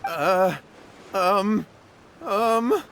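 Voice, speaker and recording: A man's voice: sits high, lively intonation, very slow, a bit bright, a little hiss or hum, exaggerated voice. An actor voicing a cowardly, flustered young man in a video game, hesitant and prone to stammering. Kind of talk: scripted video game voice acting. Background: music.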